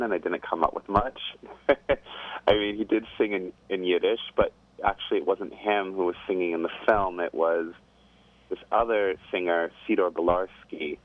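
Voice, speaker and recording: Deep guy on a crackly phone line, rambly, laughs a lot. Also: no room sound. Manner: thoughtful, rambling, laughs easily. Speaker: guest singer. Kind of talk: casual interview chat.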